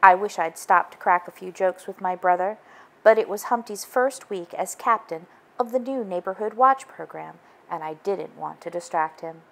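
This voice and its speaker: A woman's voice: fairly low pitch, normal speed, creaky. A woman narrating a children's storybook as a read-aloud. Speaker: storybook reader.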